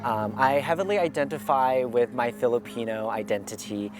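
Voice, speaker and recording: A young man earnestly reflecting on his identity as a documentary interviewee, with thoughtful pauses. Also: medium pitch. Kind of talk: reflective personal account. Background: music.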